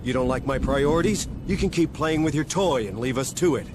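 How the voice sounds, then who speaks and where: An actor playing a gruff, stubborn commander of space marines in a video game, giving clipped, commanding briefings with dry sarcasm. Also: male voice, medium pitch, animated, brisk, noisy background, close to an everyday voice. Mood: stern, defiant. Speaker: military commander. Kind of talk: video game cutscene acting and mission briefing.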